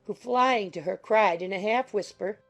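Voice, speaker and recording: A woman narrates a classic novel in a scripted, literary style. Her mid-pitched voice has plenty of creak, and soft music plays faintly behind her. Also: normal speed. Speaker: audiobook narrator.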